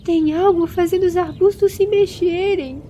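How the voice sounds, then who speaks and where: Woman voicing small young male forest creature, high-pitched, fearful, eager, exclamatory, audio storybook. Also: steady tone, moderate pace, mellow, slight background noise. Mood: apavorado.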